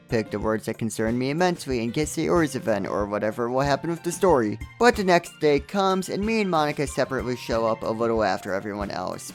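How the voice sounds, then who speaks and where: A man narrates a game review with very lively, swinging intonation over background music. His delivery is scripted and comedic, full of sarcastic asides and exaggerated reactions. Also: medium pitch. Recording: music under the voice.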